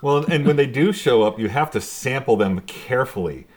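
A man with a middling pitch talks casually, as among friends. He voices little reactions and remarks as he explains things. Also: expressive, normal speed. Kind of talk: casual chat.

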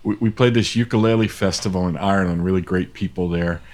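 A man's voice: low-pitched, steady tone, quick pace, a little mellow. A man hosting an old-time music show, chatting casually and reminiscing in an enthusiastic, rambling way.

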